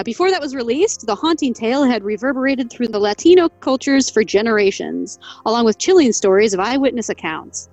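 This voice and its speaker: A woman co-hosting a casual podcast, reading a folk legend from an article. Her voice sits a little high and she laughs often, stumbling over names. The recording is dry and close, with faint music underneath. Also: darkish tone, no room sound, lo-fi sound. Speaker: podcast co-host.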